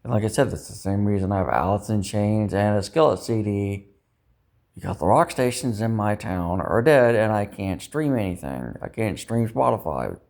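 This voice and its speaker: A man with a fairly low voice speaks in a loose, rambling vlog style. He circles back over his points and doubts himself as he goes, dropping in the odd mild swear word. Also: animated, normal speed, vocal fry, darkish tone. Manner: self-doubting, ruminating, mildly profane. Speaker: vlogger. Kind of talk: rambling casual vlog monologue.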